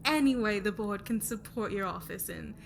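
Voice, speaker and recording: A woman's voice: medium pitch, expressive, normal speed, vocal fry, slight background noise. A woman playing a haughty noblewoman on a company board, speaking with condescending politeness in an ASMR roleplay.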